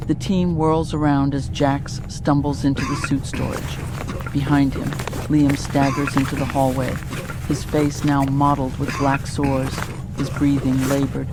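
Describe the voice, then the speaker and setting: A narrator reading a scripted audio drama in a measured, descriptive storytelling manner. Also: mid-range voice, little pitch movement, background noise.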